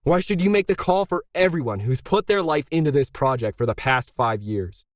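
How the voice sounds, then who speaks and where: A man's voice: a little high, lively intonation, normal speed, no room sound, voice pushed up, natural voice. Man acting middle-aged male artist, bitter, sarcastic, pressing, audiobook character, dry recording. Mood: bitter, aggrieved.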